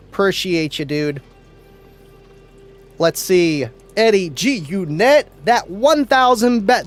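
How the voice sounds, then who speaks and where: Upbeat guy w a high voice reading out viewer comments on his stream, laughs a lot, stumbles on names. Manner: excited, chatty, laughs often, stumbles over names. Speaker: livestream host. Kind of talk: casual livestream chat reading viewer comments. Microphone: studio mic.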